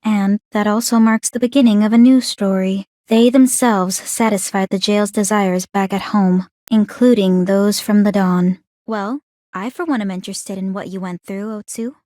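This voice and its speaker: An actress voicing a calm, clinically curious young woman in a video game, speaking in a formal, measured, coolly teasing way. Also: medium pitch, dry recording, clean studio sound, lightly acted. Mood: reflective. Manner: composed, measured, formal, inquisitive. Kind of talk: scripted video game character voice lines.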